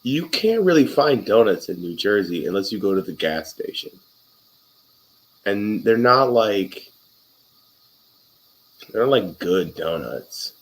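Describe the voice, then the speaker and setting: A man with a lowish voice hosts a casual interview. He is chatty and jokey, drifting into loose, profane stories with quick asides. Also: big pitch swings, poor audio. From Wisconsin. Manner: chatty, joking, profane storyteller. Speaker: interviewer, podcast host.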